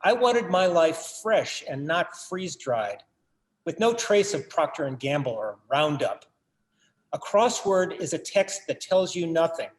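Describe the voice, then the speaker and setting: A man acting a brooding artist and obsessive collector in a stage play. His fairly high voice muses earnestly and wryly, like a theatrical monologue. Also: expressive, a little slow. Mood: bitter, wry.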